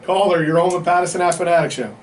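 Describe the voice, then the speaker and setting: Fast-talking man hosting sports radio banter. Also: high voice. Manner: informal, slangy, bantering. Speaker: sports talk show host. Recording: distant mic, faint background noise.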